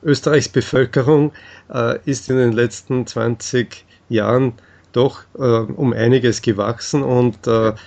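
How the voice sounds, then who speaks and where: A man answering in an interview, explaining things in a halting, measured way with frequent hesitations. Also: medium pitch, fairly even intonation, vocal fry, darkish tone.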